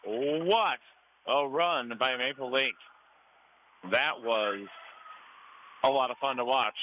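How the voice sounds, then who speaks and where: An American man calling a high school game live on the radio as play-by-play announcer. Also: slow pace, bright, forceful tone, muffled recording. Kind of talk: live radio sports commentary. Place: high school gym broadcast position. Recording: faint noise behind live broadcast.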